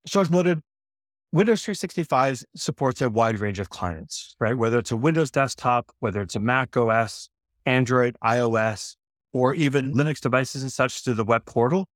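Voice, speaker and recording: Man narrating a software demo. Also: medium pitch, normal speed, no echo, clean studio sound. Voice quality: self-correcting, conversational. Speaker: IT presenter. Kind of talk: screen-recorded software demo walkthrough.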